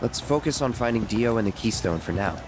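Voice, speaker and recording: Man playing a low, terse young mercenary. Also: expressive, fairly quick, over music, lightly acted. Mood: guarded. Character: terse, cool young male mercenary hero. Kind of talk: game cutscene dialogue.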